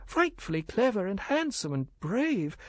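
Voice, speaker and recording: A man raises his voice high to play a young British wife in an audiobook story. She is adoring and emotional, gushing on the edge of tears, in a dry recording. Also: big pitch swings, unhurried, no room sound, exaggerated voice. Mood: tearfully adoring.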